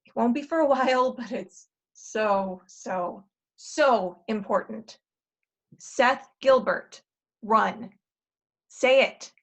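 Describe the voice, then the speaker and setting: A woman's voice: a little high, slow pace, highs cut off. A woman playing a jaded, insistent time traveler in a stage comedy, urgent and emphatic with a wry edge. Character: insistent, jaded older self from the future. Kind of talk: stage comedy acting in a table read.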